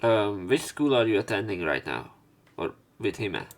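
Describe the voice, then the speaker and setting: A man's voice: lowish voice, very animated, moderate pace. A man doing let's play commentary, reading game text aloud and adding informal, rambling, hesitant remarks. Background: soft game music.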